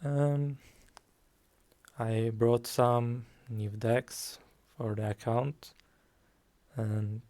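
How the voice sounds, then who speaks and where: Slow, calm, gravelly-voiced male streamer. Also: mid-range voice. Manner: slow, calm, soft-spoken with frequent ums. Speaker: guest streamer. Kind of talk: casual game stream commentary.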